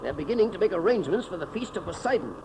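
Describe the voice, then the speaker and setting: A scientist pilot in an old radio serial. His voice is high and gravelly, set against clear noise like an engine on a 1930s recording. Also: big pitch swings, rapid-fire. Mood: matter-of-fact. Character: scientist doctor piloting a rocket plane.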